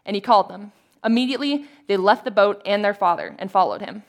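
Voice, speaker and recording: Medium-pitched woman reading scripture. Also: quick pace, gravelly. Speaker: volunteer scripture reader.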